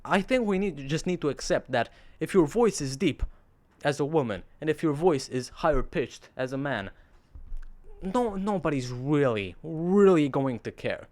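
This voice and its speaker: A teenage boy with a deep radio voice, talking earnestly to camera in a rambling vlog monologue with sarcastic asides. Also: animated, normal speed, darkish tone. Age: teens. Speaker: vlogger.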